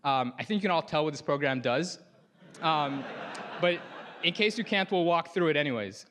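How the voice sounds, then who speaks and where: A man's voice: on the high side, expressive, normal speed, a bit bright, slight background noise. A young man from Sudan, a native Arabic speaker, giving a casual conference talk on stage into a lapel mic, often using "like" and "you know".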